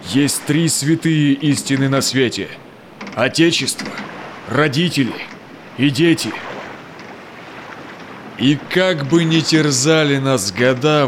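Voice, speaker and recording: An actor playing a steadfast, devout Russian Cossack explorer in a rhymed verse audio drama, with measured, solemn delivery. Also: male voice, medium pitch, background noise, close to an everyday voice. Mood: fervent.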